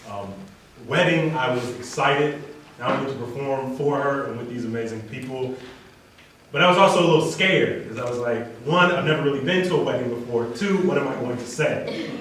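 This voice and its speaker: A man's voice: medium pitch, fairly bright tone. A man speaking as a wedding reader, introducing and reciting poetry to an audience. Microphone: distant from speaker. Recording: echoey room, distant mic, faint noise.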